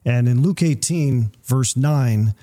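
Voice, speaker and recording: Mellow-voiced man discussing the Bible. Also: mid-range voice, slow pace. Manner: reads scripture aloud in King James wording.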